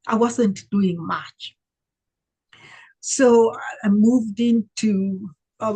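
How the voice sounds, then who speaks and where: An older woman from Uganda recounts her life as an interview guest. She talks slowly and reflectively, pausing to search for words. Her voice is somewhat husky, at a middle pitch. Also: darkish tone, rough recording. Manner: unhurried, reflective, with many fillers and searching pauses. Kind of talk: conversational interview storytelling.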